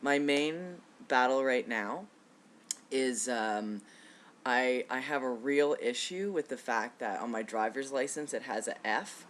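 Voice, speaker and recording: Relaxed man in his thirties, reflective. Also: mid-range voice, a little slow. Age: 30s. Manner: relaxed, reflective, with frequent fillers and soft laughs. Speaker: vlogger. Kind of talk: casual personal video update.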